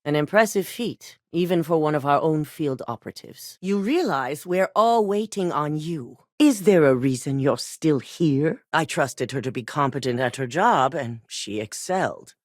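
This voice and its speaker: A ruthless corporate executive for a video game, cool and controlled. Her voice sits low yet moves with lively rises and falls, delivered crisply in a dry studio sound. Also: no echo. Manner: measured, clipped and coldly authoritative. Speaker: company boss giving missions.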